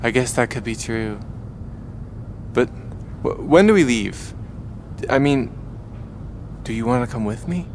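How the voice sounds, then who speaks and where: A man playing an earnest, anxious young space traveler with a halting manner in an audio drama. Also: medium pitch, animated, slight background noise. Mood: unsure, worried. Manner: hesitant, halting, unsure.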